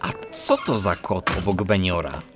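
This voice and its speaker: A man playing an eager, quick-witted cat police detective who narrates a children's audio drama. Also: low voice, music in the background, lightly acted. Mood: curious, wary. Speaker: lead character and narrator.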